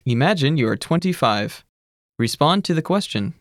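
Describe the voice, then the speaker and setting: A narrator giving measured, scripted instructions in a language course. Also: medium pitch, no room sound, clean studio sound. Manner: even, measured teacherly instructions.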